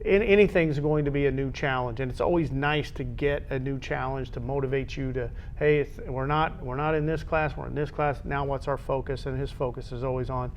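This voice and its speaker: An American man co-hosting a local school sports show, talking conversationally and haltingly, often repeating words as he goes. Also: fairly even intonation. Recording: dull lo-fi audio with low rumbling noise.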